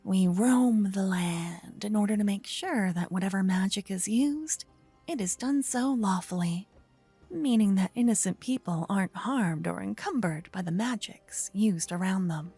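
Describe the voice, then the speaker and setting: A woman playing an earnest, bashful young female paladin in an affectionate fantasy ASMR roleplay, speaking softly and gently to the listener. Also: mid-range voice, faint music behind. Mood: earnest, explaining. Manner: soft, breathy, gently flustered, slightly halting.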